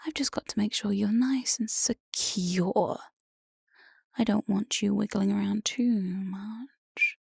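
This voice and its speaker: A woman's voice: medium pitch, takes it slowly, no room sound, studio quality, full character voice. Woman voicing a whispering, teasing demon jailer. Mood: teasing menace. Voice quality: breathy, often whispered. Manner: teasing, mock-sweet, menacing. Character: evil, playful female demon head jailer, sly mad inventor. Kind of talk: whispered ASMR roleplay. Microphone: close binaural studio microphone, dry.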